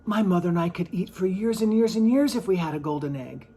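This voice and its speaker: Brief: storyteller doing a hopeful poor boy hero in a storybook tale, eager, quick-talking, with a lively, swooping voice. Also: medium pitch, a little hiss or hum, close to an everyday voice. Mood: wishful.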